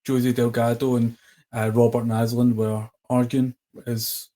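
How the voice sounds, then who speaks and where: A man's voice: mid-range voice, little pitch movement, unhurried, mellow. A man hosting a casual podcast conversation, hesitant with many ums and restarts. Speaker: podcast host.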